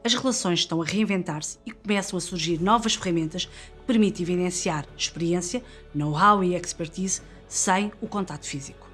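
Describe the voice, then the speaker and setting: A woman with a slightly low voice reads a corporate report as a narrator. She has a European Portuguese accent, and music runs underneath her scripted delivery. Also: normal speed, a bit bright.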